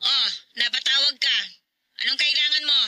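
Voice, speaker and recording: A bitter, disapproving mother in a radio drama. Her voice is high and piercingly bright, full of sarcastic questions and scorn, recorded dry and close. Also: fairly even intonation, normal speed, no room sound. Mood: scornful, aggrieved. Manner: sharp, sarcastic rhetorical questioning. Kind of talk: Tagalog radio drama acting.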